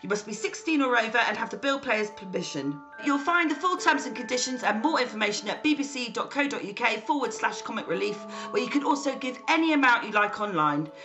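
A woman's voice: fairly high pitch, lively intonation, a little fast. A woman reading a scripted charity appeal as an announcer. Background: music.

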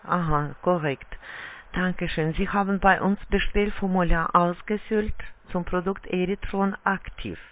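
A woman doing a telemarketing call who reads from a script in halting phrases. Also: on the low side, fairly even intonation. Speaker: telemarketing agent. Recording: tinny phone line.

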